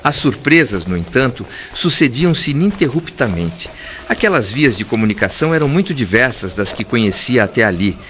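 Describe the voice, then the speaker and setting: A man narrating a literary audiobook in a formal, earnest, measured way. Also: medium pitch, very animated, a bit bright, slight background noise. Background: clear background music.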